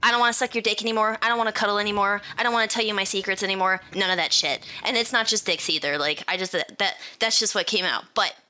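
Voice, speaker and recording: Minnesota girl on a voice chat, sharp bright tone, swears a lot and rambles. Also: medium pitch, fast talker, hardly any pauses. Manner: blunt, frequent swearing, rambling storytelling. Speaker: guest on a group voice chat. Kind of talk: casual chat.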